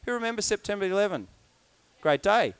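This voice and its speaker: A man's voice: sits high, expressive, a little fast, clean tone, dry recording. A man leading a church service as pastor, giving chatty, jokey announcements and a prayer. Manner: jokey, chatty, breaks off into asides.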